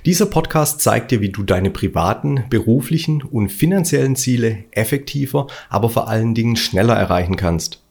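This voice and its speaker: A man's voice: mid-range voice, expressive, moderate pace, vocal fry, few pauses, a little mellow. A man from Stuttgart with a Swabian accent, hosting his own motivational podcast, talking bluntly and colloquially in a preachy solo monologue. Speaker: podcast host.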